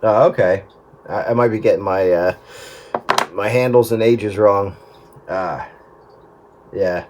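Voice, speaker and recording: A man's voice: lowish voice, slow pace, long pauses. A middle-aged man chatting casually over a radio link. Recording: noisy radio transmission.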